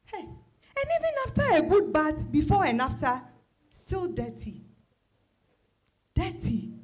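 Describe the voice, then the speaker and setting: Woman playing slow, high-voiced wounded wife. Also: very animated, darkish tone, soft background music. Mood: anguished, angry. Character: weary, wounded, defiant career wife. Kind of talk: dramatized novel reading.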